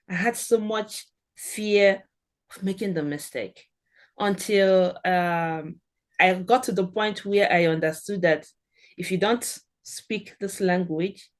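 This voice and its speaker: A middle-aged woman with a Nigerian accent, a guest on a podcast, giving earnest encouraging advice in short phrases. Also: medium pitch. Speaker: guest, German language expert. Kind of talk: podcast interview.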